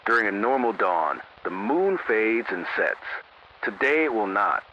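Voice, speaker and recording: A man playing a sober local AM radio announcer in an analog horror emergency broadcast, speaking in a grave, measured, formal way through a radio filter. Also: on the low side, big pitch swings. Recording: narrow-band AM radio with static noise.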